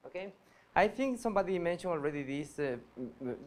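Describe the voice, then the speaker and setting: A man in his thirties from Ecuador, a PhD student giving a workshop presentation with many pauses and restarts. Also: a little high, expressive. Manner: hesitant, many fillers and restarts, earnest. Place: lecture hall.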